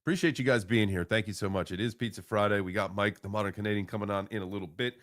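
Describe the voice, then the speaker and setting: Livestream host: American man, early forties, lower-pitched, laid-back and informal, loose off-the-cuff chat. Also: steady tone, quick pace, a bit bright. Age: early 40s. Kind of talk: casual, rambling morning livestream chat.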